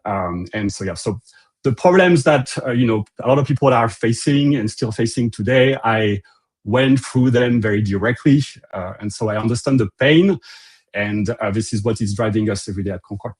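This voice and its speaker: A man's voice: lowish voice, moderate pace, slightly rough. A middle-aged man with a French accent presenting a company webinar in a loose, conversational way, with frequent fillers and restarts. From France. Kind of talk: presentation to an online audience.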